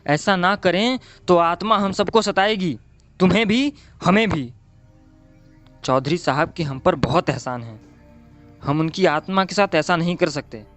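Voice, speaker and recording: A man's voice: high voice, unhurried, a bit bright, soft background music. A man playing a stubborn, pious village priest in an audio play, insistent and self-righteous.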